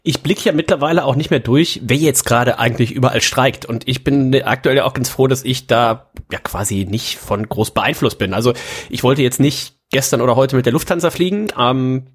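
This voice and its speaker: Ein Mann mit norddeutschem Akzent, der als Gastgeber locker und gesprächig plaudert, mit lebhaften Tonsprüngen. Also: male voice, brisk, slightly rough. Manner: chatty, with lively pitch jumps. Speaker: podcast host. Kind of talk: casual chat.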